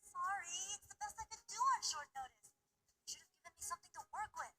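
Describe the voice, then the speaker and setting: Bright, animated high-voiced woman acting in a game. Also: quick pace. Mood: apologetic. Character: women characters: brisk detective and strict housekeeper. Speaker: voice actor in a visual novel. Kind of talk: acted character dialogue.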